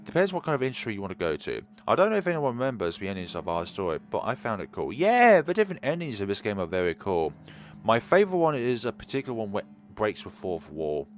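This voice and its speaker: A young man streaming a game, chatting in a calm, relaxed, low-key way. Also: quick pace, soft background music. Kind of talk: casual let's play chat.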